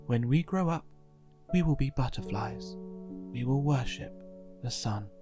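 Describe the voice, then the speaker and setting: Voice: medium pitch, expressive, measured pace, lightly acted. A storybook narrator voicing two dreamy, eager young caterpillar sisters, with music behind. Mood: hopeful, dreamy.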